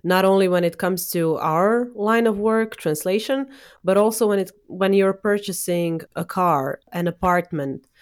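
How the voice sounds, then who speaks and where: Encouraging low-pitched woman host. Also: measured pace, clear, resonant tone. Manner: encouraging, asks questions warmly. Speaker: podcast host. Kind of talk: casual interview.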